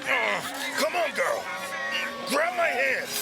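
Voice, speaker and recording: An actor playing a gruff, protective pirate sailor who speaks in rough dialect in an audio drama. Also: high-pitched, sing-song, slow, exaggerated voice. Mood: urgent, shouting. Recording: loud music under the voice.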